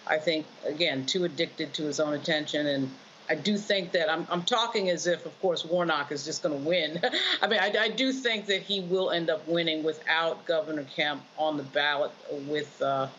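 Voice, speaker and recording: A woman's voice: low-pitched, fairly bright tone. American woman pundit, dull noisy audio. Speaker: political panelist. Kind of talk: political commentary. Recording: dull lo-fi audio with faint noise.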